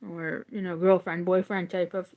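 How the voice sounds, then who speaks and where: A woman's voice: fairly low pitch, little pitch movement, moderate pace, darkish tone. A woman reading a children's nonfiction book aloud like an educator, adding informal, casual asides.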